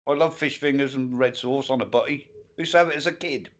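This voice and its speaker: A man's voice: mid-range voice, brisk. A man co-hosting a casual chat show, crude and teasing, swearing a lot. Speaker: co-host. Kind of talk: casual banter.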